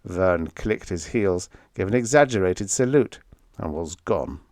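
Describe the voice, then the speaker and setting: A man reading scripted story narration for an audiobook in an expressive, dramatic manner. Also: deep voice, a little slow, no room sound.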